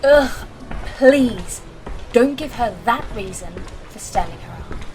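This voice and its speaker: Woman playing a slow, sarcastic, scolding female companion. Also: fairly high pitch, animated, over music. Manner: sarcastic, pointed. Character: sceptical, scolding woman, blunt travelling companion. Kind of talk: audio drama acting.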